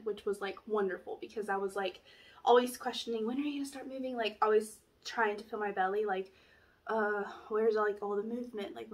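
A young woman with a stuffy nose from allergies, chatting through a casual pregnancy update at home, rambling with lots of fillers. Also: fairly high pitch. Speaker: vlogger. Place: small room at home, indoors. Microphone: camera in front, framed at chest.

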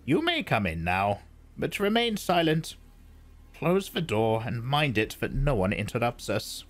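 A man's voice: mid-range voice, sing-song, a little slow, voice pushed up. A man reading aloud, voicing a stern, commanding old woman elder with a clipped, probing manner.

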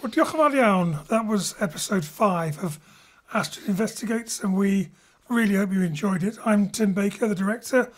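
A man's voice: high-pitched, moderate pace, soft background music. A man who directs theatre, hosting an informal after-show chat.

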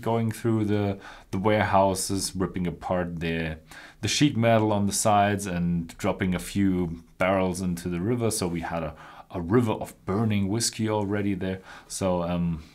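A man in his thirties presenting news in an informal, somewhat halting way, with casual commentary. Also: low voice, darkish tone.

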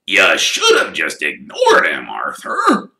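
A man reading aloud and voicing a rough, kindly giant who talks loudly and gruffly. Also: low voice, huge pitch swings, slow, voice pushed down, exaggerated voice. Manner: loud, gruff, blustering. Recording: echoey room.

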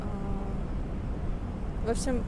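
A woman's voice: medium pitch, steady tone, extremely slow. A young woman from Russia, chatting casually and giggly to a handheld camera outdoors in a city park. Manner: chatty, giggly, restarts and fillers. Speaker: vlogger. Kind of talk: casual vlog talk to camera. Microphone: handheld camera at arm's length. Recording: outdoor street noise. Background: street traffic and passersby.

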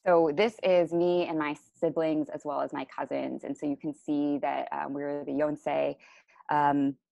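A young woman from the Chicago area presenting over slides on a video call, relaxed and full of ums and self-corrections. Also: low voice, a little slow, vocal fry, dry recording. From Chicago area, USA. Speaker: panelist. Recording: dull lo-fi video call audio.

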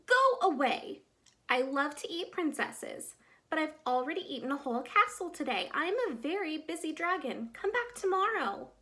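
A woman's voice: high-pitched, big pitch swings, moderate pace, pushed and bright, full character voice. A woman voicing a boastful, grumpy male dragon from a storybook, with an emphatic, dismissive manner.

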